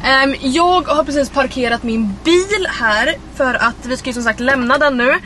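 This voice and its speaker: Bright, high-voiced young woman vlogger. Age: 20s. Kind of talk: casual chatty road trip vlog. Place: in and around a camper van and car. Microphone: handheld vlog camera. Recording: clear background noise, camera audio.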